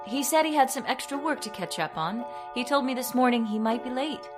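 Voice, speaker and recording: A woman's voice: on the high side, fairly quick, over music, close to an everyday voice. An actress playing a grieving, fearful young woman in old-time radio melodrama, plaintive and dramatic. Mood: calm. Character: frightened, grieving young woman in a horror tale.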